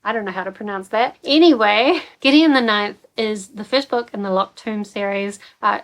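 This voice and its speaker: A woman's voice: mid-range voice, normal speed, rough recording. A young woman with a New Zealand accent, chatting casually about books in a rambling, filler-heavy way. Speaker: book vlogger. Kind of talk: casual book chat.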